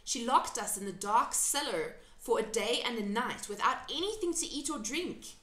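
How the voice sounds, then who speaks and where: A woman's voice: on the high side, lively intonation, moderate pace, a bit bright, pitched above the performer's own voice. Woman reading as Sophie, frank little orphan girl, roomy echo. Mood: bitter, frank.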